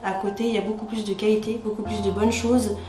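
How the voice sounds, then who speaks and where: A woman talks informally, in a medium-pitched voice, as a care worker giving a testimonial. The sound is clearly echoey, with music playing behind. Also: level tone, fast talker, mellow. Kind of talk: interview testimonial about their job. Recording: echoey room, music bed.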